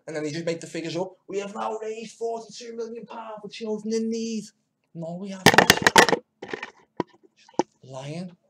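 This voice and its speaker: A man's voice: a little high, very noisy. A man giving casual, mocking commentary while editing clips, often muttering to himself. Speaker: video maker commenting on news clips.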